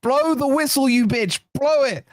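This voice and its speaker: A man hosting a fan channel's live watch-along, hoarse from shouting, giving excited, partisan play-by-play with swearing. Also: very high-pitched, unhurried, no room sound, clean studio sound. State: hoarse, losing his voice. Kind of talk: excited fan match commentary.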